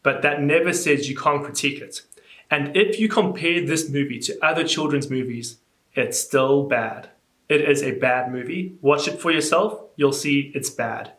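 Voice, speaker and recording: Animated young man, echoey room. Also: normal speed. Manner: animated, incredulous, rising pitch when exasperated. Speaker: YouTuber. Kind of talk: casual reaction vlog, reading comments aloud.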